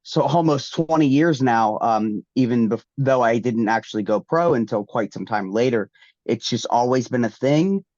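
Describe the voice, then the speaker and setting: A man's voice: medium pitch, normal speed, a little mellow, no room sound. A man, a wrestler getting over the flu, sounding congested and answering interview questions in a rambling way with many ums and restarts. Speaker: guest wrestler. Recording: dull, dry lo-fi sound.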